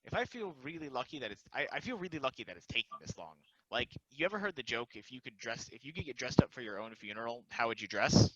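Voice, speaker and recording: A man's voice: medium pitch, very animated, fast talker, hardly any pauses, a bit bright, no room sound. A man playing a self-deprecating, philosophical and restless immortal football player, rambling confidingly in a casual stage play reading. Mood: wry, resigned. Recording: dry, slightly dull recording.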